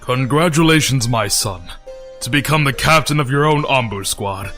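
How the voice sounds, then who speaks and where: A man playing a stern, scheming middle-aged clan leader and father in an audio drama, formal and deliberate. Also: mid-range voice, sharp tone, faint music behind, lightly acted. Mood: stern, calculating. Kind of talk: fan audio drama acting.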